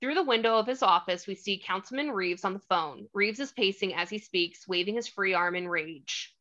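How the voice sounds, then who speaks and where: Bright-voiced woman narrating a screenplay. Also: medium pitch, normal speed. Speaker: stage directions narrator. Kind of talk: scripted narration, screenplay table read. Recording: slightly dull lo-fi audio.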